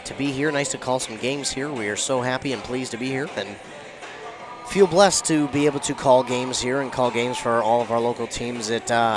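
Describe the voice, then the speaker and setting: Hoarse young radio sports host. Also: male voice, medium pitch. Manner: conversational, somewhat subdued. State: hoarse, has lost his voice. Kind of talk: pregame broadcast talk. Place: school gymnasium.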